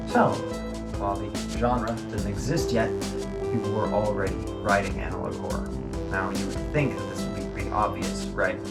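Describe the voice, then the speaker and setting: Man narrating over loud music. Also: fairly low pitch. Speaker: video essay narrator. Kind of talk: informal scripted video essay narration.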